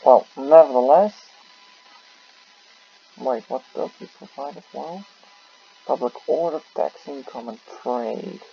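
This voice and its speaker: A man giving casual, halting gameplay commentary, muttering to himself, on a muffled mic with faint noise. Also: mid-range voice, vocal fry. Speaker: gaming video host.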